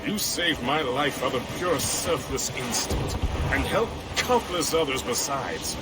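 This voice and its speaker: An actor playing a genteel, chatty colonial Templar officer in a video game. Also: male voice, medium pitch, animated, measured pace, loud music behind the voice, lightly acted. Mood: friendly, confiding. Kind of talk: video game cutscene acting. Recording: loud outdoor and vehicle-like noise behind.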